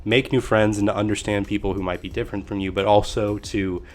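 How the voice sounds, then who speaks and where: Casting note: male podcast host, low pitch, relaxed and encouraging, casual slang. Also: a little fast. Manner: laid-back, affirming, drops in slangy asides.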